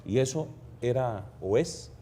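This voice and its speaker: A man's voice: medium pitch, lively intonation, measured pace, warm tone, slight background noise. A man with a Costa Rican accent hosting a TV studio interview, asking questions animatedly with hesitant fillers. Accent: Costa Rican Spanish. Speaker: TV host.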